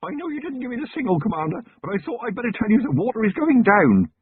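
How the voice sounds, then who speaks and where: Man putting on a keen young watchman, way higher voice, quick and breathless, lo-fi sound. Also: sing-song, husky, no echo, full character voice. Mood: urgent. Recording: muffled lo-fi narrow-band recording.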